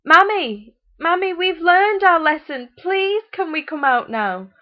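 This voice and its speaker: A pleading little storybook child, acted by a woman, calling out in a very high, wailing voice. The begging is loud and piteous, on a dull recording. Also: lively intonation, measured pace. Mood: desperate pleading. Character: pleading little children locked away by mother.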